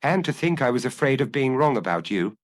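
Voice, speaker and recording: An anguished young male killer, read by a male narrator in a detective audiobook. He confesses earnestly, picking over his own impulses. The pitch moves widely, and the sound is close and dry. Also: big pitch swings, a little fast, no echo, natural voice. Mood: uneasy. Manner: earnest, self-analyzing, confessional. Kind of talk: dramatic audiobook reading.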